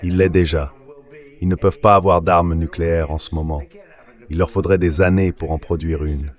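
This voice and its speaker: A low-voiced man in a synthetic dub, speaking bluntly. He repeats himself like a politician answering the press off the cuff. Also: fairly even intonation, moderate pace. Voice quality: synthetic dubbed voice. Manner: blunt, repetitive. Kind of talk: off-the-cuff press remarks, translated voice-over.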